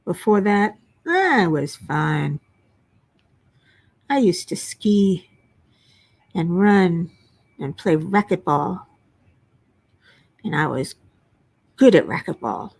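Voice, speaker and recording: An older woman hosting a casual livestream from home, chatting and answering viewers, her voice at times a little low and raspy from a frog in her throat. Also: expressive, takes it slowly, long pauses, darkish tone. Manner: chatty, self-deprecating, reads chat names aloud. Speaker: livestream host. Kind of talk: casual chat reading viewer comments. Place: home workspace.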